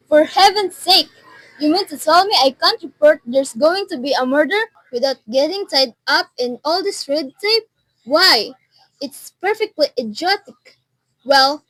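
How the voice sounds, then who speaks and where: Teenage girl acting an imperious, high-pitched anxious married woman. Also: expressive, measured pace, fairly bright tone, no echo, low-quality recording. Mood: exasperated. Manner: insistent, indignant, haughty. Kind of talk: amateur student radio drama acting.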